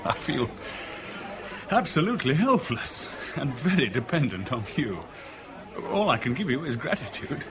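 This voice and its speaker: Actor as earnest man, animated and searching. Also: mid-range voice, normal speed, lightly acted. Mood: frustrated. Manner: articulate, literary, earnest. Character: determined, lovelorn man searching Hong Kong for missing woman. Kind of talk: 1970s British radio drama, first-person narration and dialogue. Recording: radio drama with music or club crowd behind.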